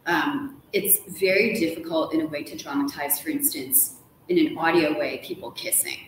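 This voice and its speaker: A woman's voice: low-pitched, steady tone, moderate pace. Woman in a very echoey room. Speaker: author guest. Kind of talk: casual live conversation. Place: hotel lounge with armchairs. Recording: echoey room, distant mic, faint hiss.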